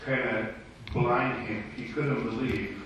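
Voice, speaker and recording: A man's voice: medium pitch, little pitch movement, slow pace, background noise. A man with a Romanian accent, a native Romanian speaker, sharing his thoughts hesitantly in a group Bible study, recorded far off in an echoey room. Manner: hesitant, searching for English words. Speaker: participant.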